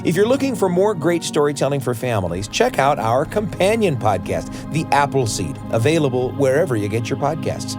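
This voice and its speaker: A man's voice: mid-range voice, very animated, a little fast, few pauses. An American man co-hosting a podcast in a conversational presenting style. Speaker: podcast co-host. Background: faint music.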